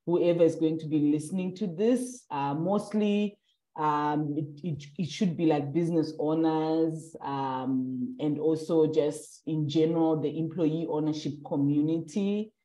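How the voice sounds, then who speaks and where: A woman's voice: deep voice, unhurried, mellow, roomy sound, poor audio. A young adult woman from Southern Africa, interviewing in a casual, hesitant way with many fillers.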